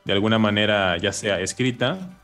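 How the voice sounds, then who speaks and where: A man with a Mexican accent, a guest in an interview, explaining ideas in a relaxed way with fillers like "este" and "¿no?". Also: lowish voice, moderate pace, soft background music. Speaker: guest, language teacher. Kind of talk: casual interview answers.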